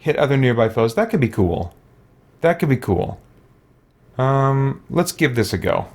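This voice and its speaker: A man's voice: mid-range voice, big pitch swings, a little slow, darkish tone. A man giving casual let's play commentary, thinking aloud and musing to himself as he goes. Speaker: gaming video host.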